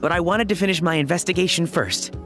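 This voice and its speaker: A man acts as an eager young researcher in a video game. His fairly high voice is courteous and earnest, with soft music in the background. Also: quick pace, close to an everyday voice. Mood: polite, earnest. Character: polite, eager young male foreign researcher.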